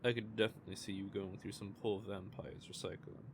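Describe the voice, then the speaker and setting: A man's voice: lowish voice, little pitch movement, a little fast, background noise. Man playing a smug teasing vampire. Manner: teasing, smug, playfully menacing. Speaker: vampire character in an audio roleplay. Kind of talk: audio roleplay monologue.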